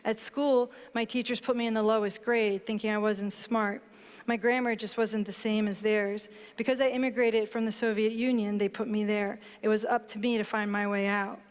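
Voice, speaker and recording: A woman in her thirties, a book author on a panel, explaining her work in a measured way and reading aloud from it on stage. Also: medium pitch, level tone, smooth. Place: large hall stage before an audience. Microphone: headset mic. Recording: long hall reverb.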